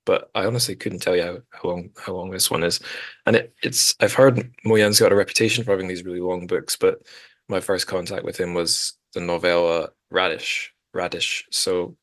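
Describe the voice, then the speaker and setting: A man's voice: deep voice, flat delivery, moderate pace, a bit bright, no room sound. A man hosting a podcast, talking casually about books in a rambling, self-correcting way. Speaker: podcast host. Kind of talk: casual literary discussion.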